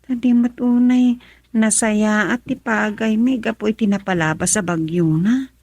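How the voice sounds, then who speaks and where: Woman to play poor rural mother, Ilocano accent, radio drama. Husky, apologetic, hesitant, dry studio sound. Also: medium pitch, normal speed, darkish tone, dry recording, natural voice. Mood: apologetic, weary. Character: hard-up farmer's wife and mother, apologetic and weary.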